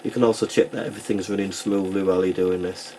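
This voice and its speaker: A man with a fairly low voice talks through a practical repair in an informal, matter-of-fact way. He sounds like a technician explaining each step while his hands are busy. The recording has clear background noise and clicking. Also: little pitch movement, fast, mellow. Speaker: repair technician and video host. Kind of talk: informal hands-on tutorial narration.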